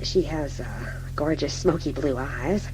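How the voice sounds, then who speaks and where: A man playing a casual, flirtatious radio station colleague, improvising introductions in a halting, slangy way. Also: a little high, over music, lightly acted. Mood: halting, admiring. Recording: noisy, humming radio-like recording.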